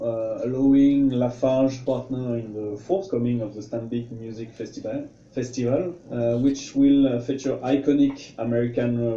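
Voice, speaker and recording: A man, a company executive giving a prepared speech in a halting, formal way. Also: medium pitch, mellow. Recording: distant, roomy, dull audio with some noise.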